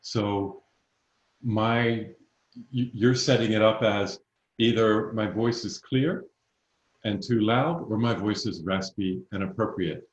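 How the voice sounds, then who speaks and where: A man's voice: on the low side, slow, muffled recording. Firm matter-of-fact male voice coach. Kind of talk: voice lesson conversation. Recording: roomy echo.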